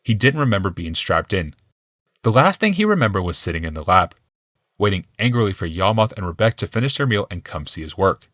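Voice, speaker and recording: Low-pitched, forceful male audiobook narrator. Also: sing-song, a little fast, dry recording, studio quality. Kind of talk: dramatic scripted fiction narration.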